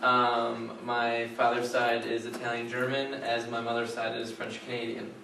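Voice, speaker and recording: A man's voice: medium pitch, monotone, moderate pace, sharp tone. A man with a Chicago accent giving a short, slightly sheepish introduction with many ums. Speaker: student. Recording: echoey room from far off, noise.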